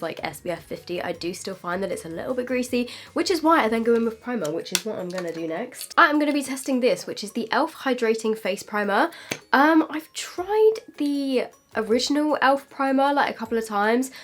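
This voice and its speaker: A young woman with a British accent chats through a makeup test, her voice pitched a little high and slightly stuffy from a cold. She fills her talk with easy "like"s and "um"s. Faint music plays behind her. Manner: chatty, filler-heavy with frequent "like". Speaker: beauty vlogger. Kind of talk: casual makeup review vlog.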